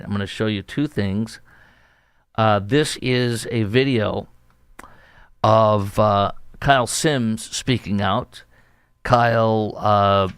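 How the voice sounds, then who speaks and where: A man hosts a political talk show, sounding indignant and emphatic as he rambles through his commentary. His voice sits on the low side, recorded close and dry with no room sound. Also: clean tone, dry recording.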